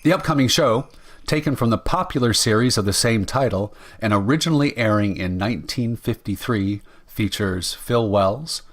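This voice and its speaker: Low-voiced man hosting vintage radio. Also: lively intonation, moderate pace. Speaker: radio show host, announcer. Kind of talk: scripted old-time radio introduction.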